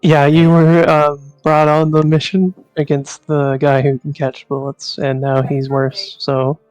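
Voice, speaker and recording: A man talks casually as a tabletop game player. His voice is a little high and very clear. The recording is dry, with faint music behind. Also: monotone, measured pace, darkish tone, dry recording, poor audio.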